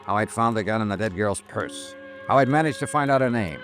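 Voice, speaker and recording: A man playing a tough, world-weary insurance investigator in a 1950s radio drama, clipped and deadpan. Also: on the low side, a little fast, soft background music. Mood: wry, measured. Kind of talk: 1950s radio detective drama acting and narration.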